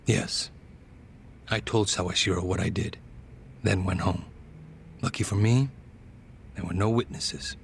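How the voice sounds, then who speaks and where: Low gravelly smug gangster. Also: male voice, fairly even intonation, a little hiss or hum. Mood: smug, calm. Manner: measured, condescending. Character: cold, smug male gangster calmly confessing and needling. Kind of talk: English-dubbed video game cutscene acting.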